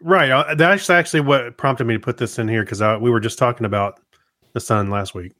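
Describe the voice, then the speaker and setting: A man's voice: mid-range voice, fairly quick, a bit bright, dry recording, studio quality. A man co-hosting a podcast, chatting casually with short comments and interjections. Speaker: podcast co-host.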